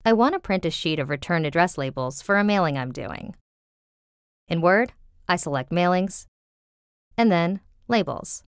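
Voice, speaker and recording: A woman's voice: fairly low pitch, expressive, normal speed, pauses often, smooth, no room sound, clean studio sound. A woman working as a voiceover artist, reading scripted narration for commercials and tutorials.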